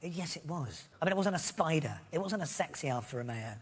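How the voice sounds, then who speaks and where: A man's voice: a little high, lively intonation, a little fast, slightly rough. A man, a guest on a chat show, telling chatty self-deprecating anecdotes. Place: TV studio. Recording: long studio reverb, faint noise.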